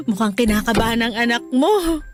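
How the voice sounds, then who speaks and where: An actress playing a warm, teasing Filipino mother and forgiving wife in a radio drama. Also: fairly high pitch, expressive, unhurried, over music.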